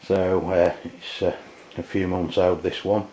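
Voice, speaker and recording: Low-voiced man, dull lo-fi sound. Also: little pitch movement, mellow. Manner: halting, hesitant delivery. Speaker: product reviewer. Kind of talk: casual unscripted review. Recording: dull lo-fi amateur video sound.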